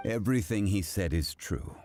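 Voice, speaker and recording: A man voicing a composed, learned gentleman connoisseur in a video game, speaking in a measured, formal, assured way. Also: lowish voice, animated, soft background music. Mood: calm, assured.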